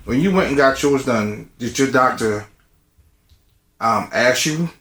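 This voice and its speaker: A man's voice: mid-range voice, a little slow, a bit bright, a little hiss or hum. A man in his fifties in a candid group discussion, speaking frankly and personally. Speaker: discussion participant. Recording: roomy echo.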